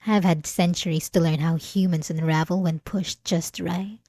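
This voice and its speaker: A woman playing an obsessive, possessive, centuries-old vampire in an ASMR roleplay, speaking intimately and deliberately with dramatic pauses. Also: lowish voice, fairly even intonation, a little mellow, no echo, clean studio sound. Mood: menacing, controlled.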